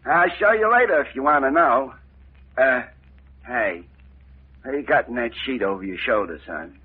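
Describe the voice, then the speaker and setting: An actor playing a sly, wisecracking reformed pickpocket who now runs a cheap hotel, in 1940s radio acting. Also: male voice, mid-range voice, animated, moderate pace, slightly rough. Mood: genial. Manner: genial, wisecracking. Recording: lo-fi old radio broadcast.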